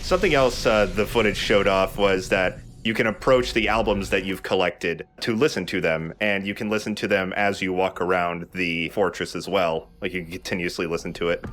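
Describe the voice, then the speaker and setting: A man's voice: low-pitched, steady tone, moderate pace, few pauses, a bit bright. A man giving casual commentary over video game footage as a let's play commentator.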